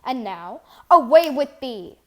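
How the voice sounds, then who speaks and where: Woman doing a bossy grumpy king of the gods, blustering orders, highish voice, myth stage play. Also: sing-song, slow, full character voice. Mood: commanding. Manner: commanding, blustering. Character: imperious, irascible old king of the gods. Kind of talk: theatrical solo reading of verse libretto.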